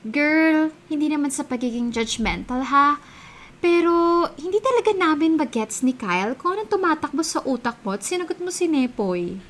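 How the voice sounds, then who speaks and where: A woman's voice: high voice, expressive, moderate pace. A woman playing an opinionated, gossipy young friend with a Filipino accent, speaking emphatically in a radio drama. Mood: insistent. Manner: emphatic, conversational.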